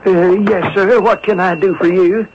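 A man's voice: high-pitched, fairly even intonation, moderate pace, noisy background, lightly acted. An actor playing an obsequious, shady male seller in a radio drama, hesitant and deferential. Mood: fawning. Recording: dull, lo-fi old radio recording.

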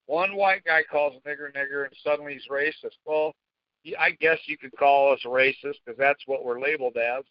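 A man with a slightly high voice chats casually as a podcast co-host. His manner is blunt and foul-mouthed. The sound is very dry and somewhat dull, a lo-fi recording. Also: fairly even intonation, moderate pace, no room sound. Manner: coarse, profane, blunt. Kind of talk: casual chat.

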